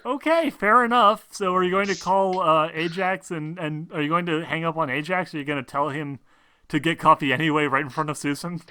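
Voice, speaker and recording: A man's voice: fairly high pitch, a little fast, sharp tone, clean tone, no echo. A man acting as game master, talking casually and informally as he runs a tabletop roleplaying game.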